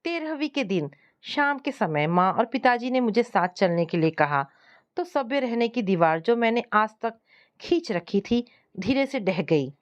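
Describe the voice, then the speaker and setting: A woman's voice: a little high, big pitch swings, measured pace. A woman reading a scripted first-person family story as its narrator.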